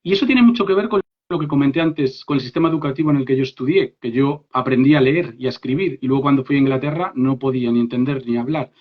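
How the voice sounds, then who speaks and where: A man from Spain with a Spanish accent, giving a lively online workshop on English for business, talking directly to his listeners and checking in with them often. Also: medium pitch, quick pace, few pauses. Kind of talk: informal live workshop talk. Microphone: phone or laptop mic on a live stream. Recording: dull lo-fi live stream audio.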